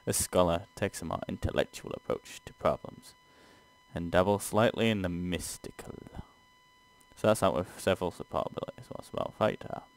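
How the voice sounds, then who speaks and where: A man's voice: sits low, huge pitch swings, normal speed, low-quality recording. A man co-hosting a casual let's play, reading game text aloud with stumbles and sweary, exasperated asides. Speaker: gaming show co-host playing and reading aloud.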